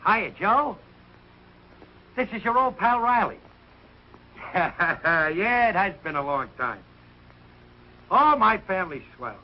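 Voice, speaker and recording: A man's voice: high-pitched, sing-song, slow pace, pauses often, exaggerated voice. An actor playing a bumbling, good-hearted, hard-up American family man in a 1940s TV sitcom, talking in a plaintive, colloquial, blustery way. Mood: hopeful, flustered. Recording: muffled, noisy 1940s television soundtrack.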